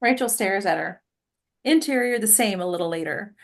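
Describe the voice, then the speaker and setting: A woman's voice: mid-range voice, expressive, normal speed. A woman reading stage directions as scripted narration at a table read.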